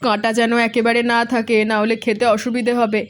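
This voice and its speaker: A woman voicing a fond, practical village mother in a cartoon who speaks gently and scolds lightly. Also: on the high side, little pitch movement, a little fast, natural voice. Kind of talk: cartoon voice acting.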